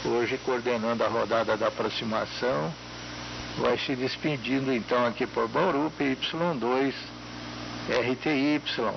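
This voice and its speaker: Muffled, hissy radio voice, gravelly Brazilian man. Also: medium pitch, a little slow. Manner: friendly, chatty, frequent tag questions. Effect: radio transmission. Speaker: amateur radio operator on a group round. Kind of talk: casual ham radio chat with greetings and call signs. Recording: muffled, noisy radio transmission with hiss and buzz.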